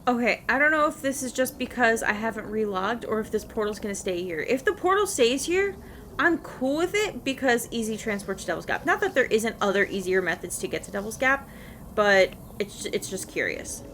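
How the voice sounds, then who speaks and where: A woman giving casual, expressive gameplay commentary, chattily reacting aloud to the game as she plays. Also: a little high, a little fast, a little hiss or hum. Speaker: video game streamer.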